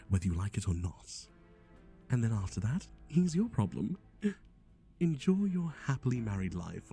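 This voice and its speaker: A man's voice: lowish voice, warm tone. A man playing a flippant, scatterbrained matchmaking agency boss in an ASMR roleplay, rambling offhandedly and muttering asides to the listener. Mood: brisk, flippant. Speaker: voice actor.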